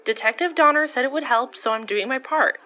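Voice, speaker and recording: A woman's voice: high-pitched, fairly quick, bright, forceful tone, highs cut off. A woman playing a reasonable, teasing young woman in a naturalistic audio drama. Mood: reasoning, teasing. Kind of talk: naturalistic horror fiction podcast acting.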